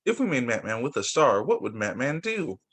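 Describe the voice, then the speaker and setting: A young man who teaches young children, reading picture books aloud in a sing-song, expressive way over a video call. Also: medium pitch, brisk, breathy edge. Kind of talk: read-aloud story time for young children.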